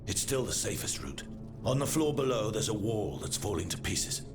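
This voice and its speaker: A man playing a sombre, grieving executioner in a video game, speaking gravely and formally. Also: on the low side, animated, normal speed, lightly acted. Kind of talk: dramatic video game acting. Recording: music behind the voice.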